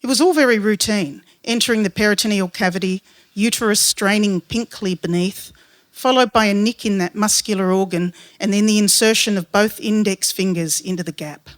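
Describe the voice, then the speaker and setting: A woman with an Australian accent reading her written true story to listeners as a storyteller, in a measured, literary way. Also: mid-range voice, dry recording. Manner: measured, literary, descriptive delivery.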